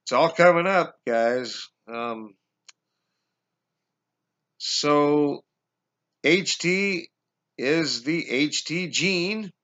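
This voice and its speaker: A man in his forties talking to viewers from home on a webcam, halting with fillers, his voice going hoarse. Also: on the high side, a bit bright. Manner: halting, many fillers and restarts, addresses viewers as guys. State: losing his voice, coughing. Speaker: conspiracy vlogger. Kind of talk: casual explanatory monologue. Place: small indoor room at home. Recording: dull lo-fi webcam audio.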